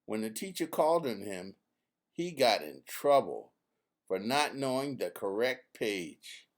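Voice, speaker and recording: Slow-paced man reading a children's story. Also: fairly low pitch, big pitch swings. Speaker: author reading his own book.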